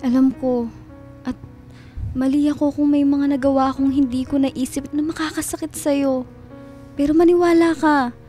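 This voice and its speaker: Apologetic, high-voiced gentle friend. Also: female voice. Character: apologetic, gentle woman friend, remorseful and yielding. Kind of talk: Filipino radio drama acting. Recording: guitar music bed under the voice.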